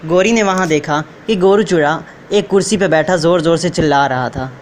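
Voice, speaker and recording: A man's voice: on the high side, moderate pace. A man narrating a scripted moral story for children. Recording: faint background noise.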